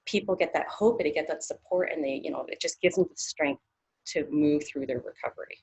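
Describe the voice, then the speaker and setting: A woman in her thirties from Canada speaks as an interview guest. Her voice sits low, and she talks in a thoughtful way, circling back with many fillers and restarts. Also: fairly quick, a little mellow, breathy edge, poor audio. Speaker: psychologist.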